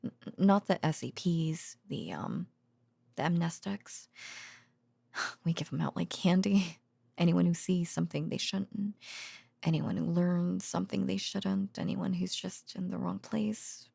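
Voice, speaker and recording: A woman acting as a tender, tired researcher girlfriend in an ASMR roleplay. Her voice sits on the low side, very breathy and soft, often with a creaky edge. She muses haltingly and drowsily, as if confiding at bedtime. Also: steady tone, no room sound, full character voice. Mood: wry, musing. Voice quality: soft, breathy, partly whispered. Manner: hesitant, drowsy, musing, with sighs and soft chuckles. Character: tender, weary female secret-facility researcher and girlfriend. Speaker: voice actor in an audio roleplay.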